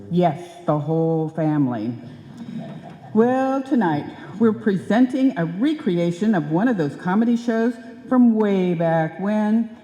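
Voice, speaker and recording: A woman's voice: fairly low pitch, mellow. A woman announcer reading a scripted introduction to a live radio show in a chatty, theatrical way. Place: indoor hall stage, live performance. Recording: echoey hall, live stage.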